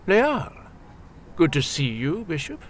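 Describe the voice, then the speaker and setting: A man playing a composed, perceptive senior churchman in an audio drama, courteous and deliberate. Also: a little high, very animated, a little hiss or hum. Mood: genial. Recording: faint background ambience.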